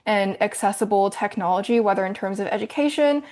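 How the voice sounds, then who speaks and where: A woman's voice: mid-range voice, a little fast, darkish tone. A woman moderating a panel discussion, thanking speakers politely and moving to the next. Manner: polite, appreciative.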